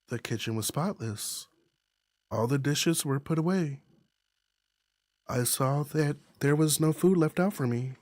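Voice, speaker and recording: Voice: medium pitch, darkish tone, no room sound. A performer reading scripted visual novel narration aloud into a close microphone. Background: music.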